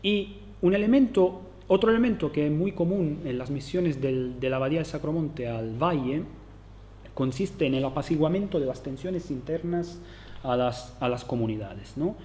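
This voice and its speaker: Italian guy lecturing at a conference, kinda high voice, keeps restarting and going "no?". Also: normal speed, a little mellow, a little hiss or hum. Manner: hesitant, many fillers and restarts, tag questions. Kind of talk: academic conference talk.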